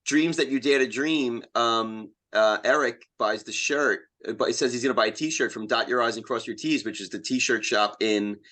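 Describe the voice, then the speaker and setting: A man's voice: medium pitch, normal speed, few pauses, bright, forceful tone. A man speaking as a playwright guest in a casual conversation, with a self-deprecating way of talking. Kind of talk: casual conversation about writing plays.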